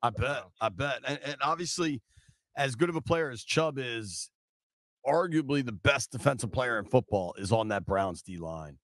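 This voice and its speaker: A man's voice: medium pitch, animated, normal speed, fairly bright tone, no room sound. A middle-aged man hosting a casual sports radio interview on a close studio mic. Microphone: studio boom mic with headphones.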